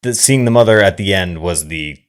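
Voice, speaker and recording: A man co-hosting a casual film discussion, speaking in a halting, measured way with some swearing. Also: low voice, animated, clear, resonant tone. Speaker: podcast co-host reviewing films.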